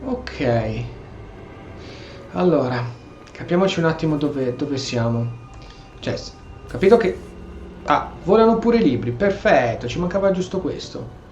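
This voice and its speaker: A man's voice: on the high side, expressive, moderate pace, long pauses, a little mellow, lo-fi sound, soft background music. A man with an Italian accent giving casual commentary as he plays a video game. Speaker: gaming streamer. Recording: echoey room, faint game noise.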